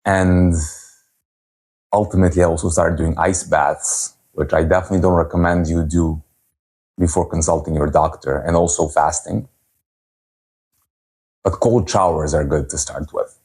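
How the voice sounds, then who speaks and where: A man in his thirties from Serbia, telling his personal story as a holistic health coach at a virtual event in an earnest, unhurried way. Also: sits low, steady tone, a little mellow, husky. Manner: earnest, unhurried, with frequent pauses and fillers.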